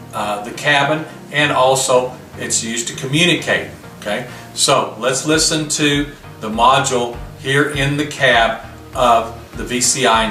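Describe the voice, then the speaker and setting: A man's voice: mid-range voice, bright, forceful tone. A man giving a plainspoken, step-by-step product demonstration, often posing a question and answering it himself. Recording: roomy, distant mic, music behind.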